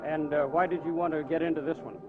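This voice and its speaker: A man's voice: a little high, fairly even intonation, quick pace, music in the background. A man with an American accent working as a news reporter, putting questions in a press interview. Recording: noisy lo-fi archival newsreel sound.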